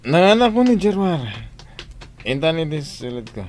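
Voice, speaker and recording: Pleading young Ilocano master. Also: male voice, on the high side, sing-song, normal speed, darkish tone. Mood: loud, drunken. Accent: Filipino, Ilocano. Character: young master of the house, pleading, lovestruck, lustful. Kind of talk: Ilocano radio drama acting.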